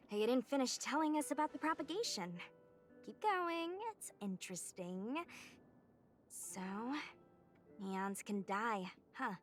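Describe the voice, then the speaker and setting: Chatty young swordswoman in a game, slow-talking, a bit high, quiet music under it. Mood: curious. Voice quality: eager, chatty questioning. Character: young female sword-trained knight, curious and blunt. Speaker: game character. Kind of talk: video game voice acting. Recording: game audio with faint music behind.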